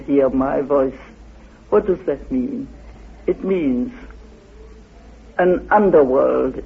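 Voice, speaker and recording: A man being interviewed, explaining his ideas in a halting, deliberate manner, asking and answering his own questions and reciting quotations. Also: fairly high pitch, slightly rough. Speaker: interviewee expounding his theory. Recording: phone-like, muffled old radio recording.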